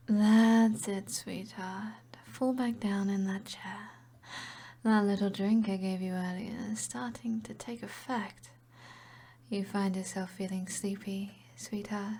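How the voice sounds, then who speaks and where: A woman's voice: mid-range voice, mellow. A British woman playing an alluring, commanding evil sorceress in an ASMR roleplay, half-whispering in a teasing, possessive way. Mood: menacing, coaxing. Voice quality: breathy, whispery.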